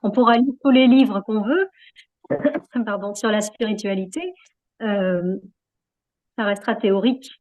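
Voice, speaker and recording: A woman's voice: medium pitch, unhurried, pauses often, a little mellow. A woman being interviewed in a reflective, conversational way, hesitating often as she searches for her words. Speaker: interview guest, yoga practitioner.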